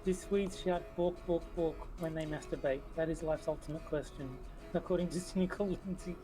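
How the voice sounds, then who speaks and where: A woman co-hosting a casual livestream, making dry, teasing jokes in a relaxed way. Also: low-pitched, brisk, soft background music, poor audio. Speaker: stream co-host.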